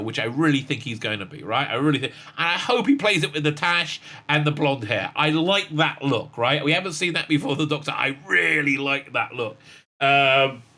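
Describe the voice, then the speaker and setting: A man hosting a fan news show, reading headlines and breaking off into excitable asides with many fillers and laughs. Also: a little high. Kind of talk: casual commentary reading news aloud.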